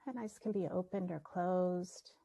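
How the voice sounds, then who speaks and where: A woman leading a guided meditation, speaking gently and leaving long pauses between her phrases. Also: lowish voice, vocal fry, a little hiss or hum. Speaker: meditation teacher.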